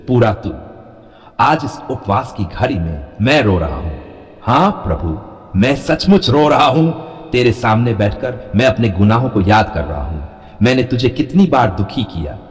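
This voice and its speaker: A man's voice: lowish voice, darkish tone, long reverb tail. A man leading an earnest, pleading devotional prayer in a rhythmic, repetitive cadence. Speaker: prayer leader.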